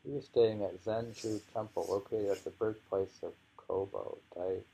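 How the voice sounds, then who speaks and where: A man reading poetry aloud informally, musing and halting as he adds his own commentary in an unhurried way, on a dull home recording. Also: on the low side, fairly even intonation, vocal fry, dark tone, husky. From United States. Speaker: poetry reader.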